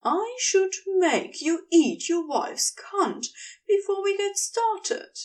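Woman acting as domineering male seducer, high pitch, teasing, unhurried drawn-out commands, audio fiction, distant from the mic. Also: very animated, exaggerated voice. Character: commanding, lustful male houseguest, teasing and coolly controlling.

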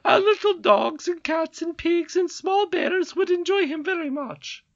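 Brief: a high, swooping, greedy goblin queen, read by a woman, storybook villain, dull dry recording. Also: animated, unhurried, creaky, no echo, full character voice. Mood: imperious. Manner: shrill, sweeping, imperious. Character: haughty scheming goblin queen, greedy and cruel.

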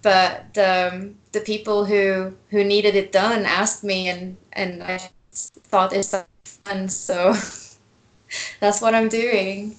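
A woman, a tattoo artist chatting as a guest in a relaxed interview. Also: mid-range voice, takes it slowly, rough recording. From Sweden. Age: 20s. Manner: relaxed, laughs often, many fillers. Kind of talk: casual interview.

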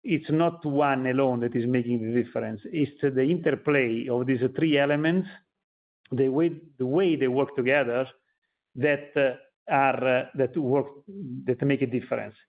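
A man speaking haltingly as a company executive, answering questions on an earnings call. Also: medium pitch. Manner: halting, searching for words. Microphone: conference call line. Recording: narrow, tinny phone line.

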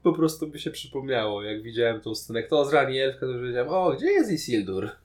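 A man's voice: medium pitch, very animated, fairly quick. A man co-hosting a podcast, chatting casually and playfully about a series. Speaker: podcast co-host. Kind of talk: casual chat reviewing a TV series.

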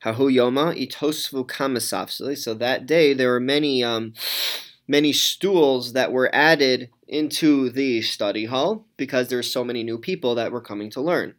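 A man teaching a Talmud class, reading each Aramaic phrase and then translating it, with frequent pauses and ums. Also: mid-range voice.

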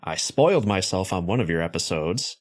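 Deep-voiced man hosting a podcast. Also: brisk. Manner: relaxed, joking, swears. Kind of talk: casual chat.

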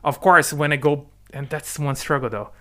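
Animated man, bright voice. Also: fairly high pitch, moderate pace. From Brazil. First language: Portuguese. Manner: animated, talks in restarts and asks "right?" often. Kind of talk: casual conversation. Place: lives in the UK.

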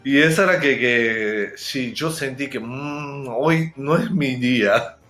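A Japanese man speaking Spanish as a second language with a Japanese accent, talking slowly and haltingly, searching for words and laughing often as a guest in a casual interview. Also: mid-range voice, a bit bright, reverberant, soft background music.

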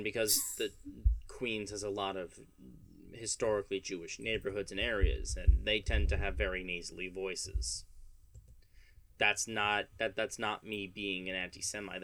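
A man's voice: on the low side, a bit bright, slight background noise, rough recording. Podcast co-host, New York man, a bit nasal, opinionated, stumbles and restarts words. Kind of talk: casual chat.

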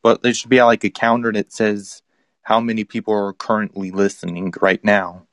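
A man's voice: lowish voice, flat delivery, normal speed, dry recording, studio quality. A man in his twenties hosting a casual chat on a live audio app, explaining things in an easygoing, rambling way. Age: 20s. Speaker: host.

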